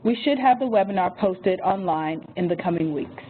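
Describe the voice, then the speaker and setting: A woman's voice: on the low side, lively intonation. A woman moderating a webinar, reading housekeeping notes and an introduction from a script. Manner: reads phone number slowly and carefully. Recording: tinny phone-line audio with faint hiss.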